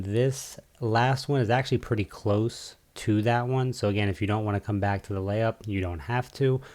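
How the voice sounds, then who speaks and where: Man, lowish pitch, casual walkthrough narration, easygoing, instructional. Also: a little mellow. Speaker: video game guide creator. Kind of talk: casual game walkthrough commentary.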